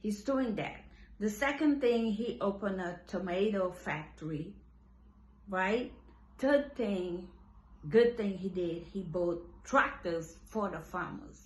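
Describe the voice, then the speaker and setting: A woman speaks slowly and deliberately at a middle pitch. Her delivery is emphatic, and she circles back over phrases to drive each point home. The room around her gives her voice a noticeable echo. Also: lively intonation. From Senegal. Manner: emphatic, repeats and restarts phrases. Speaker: commentator. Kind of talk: impassioned monologue on politics. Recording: roomy echo.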